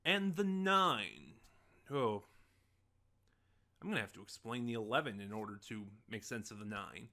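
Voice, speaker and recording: A man's voice: lowish voice, expressive, a little slow, long pauses, pushed and bright. A man giving a casual, unscripted review as host, talking in a rambling, hesitant way and often correcting himself. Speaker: online reviewer of audio dramas, host.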